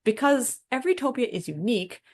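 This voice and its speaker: A woman's voice: fairly high pitch, animated, quick pace, a little mellow. A woman co-hosting a discussion podcast, talking through ideas in a reflective, wordy and self-deprecating way. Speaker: podcast co-host.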